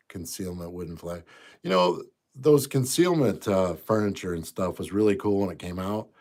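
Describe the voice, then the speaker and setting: A man in his fifties, a bit congested, casually chatting on a livestream and answering viewer comments in an easygoing, rambling way. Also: sits low. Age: 50s. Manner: easygoing, rambling, trails off quietly. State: congested, stuffy nose. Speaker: livestream host.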